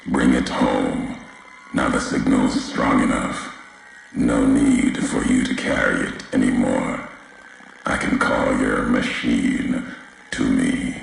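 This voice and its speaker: An actor playing a brooding, vengeful wasteland wanderer obsessed with history in a video game, speaking in cryptic, clipped phrases with weighty pauses. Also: male voice, exaggerated voice. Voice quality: very deep, gravelly. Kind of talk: slow brooding game dialogue monologue. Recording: heavily echoing voice, faint background noise.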